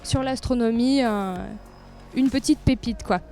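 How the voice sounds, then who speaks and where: A woman's voice: a little high, unhurried, mellow, faint music behind. A woman giving an informal promotional interview as a tourist office representative, speaking with enthusiasm. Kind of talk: informal promotional interview, describing local trails.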